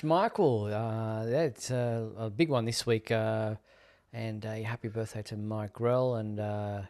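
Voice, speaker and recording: A man's voice: on the low side, a little mellow. A man hosting a fan live show, presenting news casually in an easygoing, meandering way.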